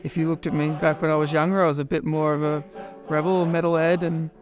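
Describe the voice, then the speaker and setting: A man's voice: a little high, flat delivery, fast talker, soft background music. A man in his late thirties, a choir member, speaking plainly and reflectively in an interview. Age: 30s.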